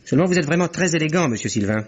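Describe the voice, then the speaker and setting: An actor playing a shrewd, ironic police inspector from in a vintage radio mystery. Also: male voice, fairly high pitch, animated. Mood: sly, measured. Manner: ironic, measured, probing. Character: shrewd, sardonic male police inspector, needling suspects. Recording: dull, lo-fi old radio recording.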